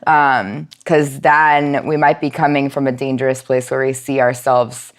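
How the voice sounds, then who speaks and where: Low-voiced woman, reflective podcast guest. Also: level tone, unhurried. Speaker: podcast guest, nonprofit outreach and education manager. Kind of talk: thoughtful conversational interview answer.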